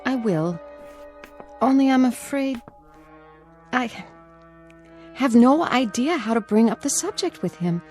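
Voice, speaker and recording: A caring, anxious young woman in an audio drama. Her voice is fairly high and expressive, gently concerned, over music. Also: slow pace, long pauses. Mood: worried, subdued. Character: caring, anxious granddaughter and wife.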